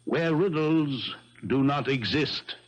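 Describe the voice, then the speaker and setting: A man's voice: fairly high pitch, slow, soft background music. A man playing a shrewd, amused detective in 1970s radio drama, teasing and self-assured. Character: shrewd, amused amateur detective, smugly teasing his friend. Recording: 1970s radio broadcast sound.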